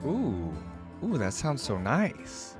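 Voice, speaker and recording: A man's voice: mid-range voice, wildly swooping pitch, slow pace. A man giving a casual, upbeat reaction commentary, informal and thinking aloud as he goes. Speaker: reaction video host. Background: faint music.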